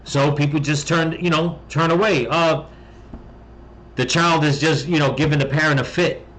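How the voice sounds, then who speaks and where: A man's voice: a little high, fairly even intonation, moderate pace, a bit bright, poor audio. An American man giving casual reaction commentary in a blunt, emphatic way. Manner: blunt, emphatic, mildly profane. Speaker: video commentator.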